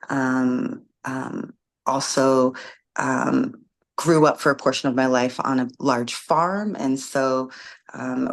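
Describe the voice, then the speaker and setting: Woman guest, community organizer; unhurried, reflective, filler-heavy delivery. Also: a bass voice. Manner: thoughtful, many ums and restarts. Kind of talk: casual interview conversation.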